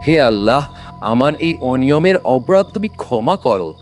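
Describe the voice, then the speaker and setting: Man voicing kindly old man, cartoon moral tale, swooping theatrical delivery, deliberate, music behind. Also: mid-range voice, big pitch swings, a little mellow, close to an everyday voice. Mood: anxious, praying. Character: pious, shrewd, kindly old man of old Baghdad. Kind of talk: dramatic animated moral story.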